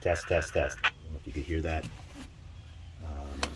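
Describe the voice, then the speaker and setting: A man giving an informal hands-on equipment demonstration, halting and thinking aloud as he goes. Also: rumbling low, level tone. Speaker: presenter demonstrating radio equipment. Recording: clear background noise.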